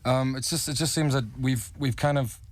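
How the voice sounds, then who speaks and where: A man's voice: mid-range voice, fairly even intonation, moderate pace, no room sound. A young South African man in a rock band, talking earnestly in a radio interview and stumbling over restarts.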